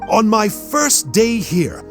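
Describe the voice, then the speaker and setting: An actor playing a playful, theatrical uncle telling stories in a video game, with a jovial, coaxing manner and a husky voice. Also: male voice, high voice, very animated, slow, soft background music, exaggerated voice. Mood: storytelling. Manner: theatrical, third-person self-reference. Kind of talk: video game character acting.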